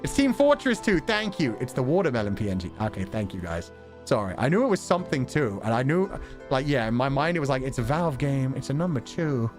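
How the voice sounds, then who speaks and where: A man's voice: mid-range voice, big pitch swings, a little fast, faint music behind. A man livestreaming and chatting casually with viewers in a wry, sarcastic way, swearing now and then.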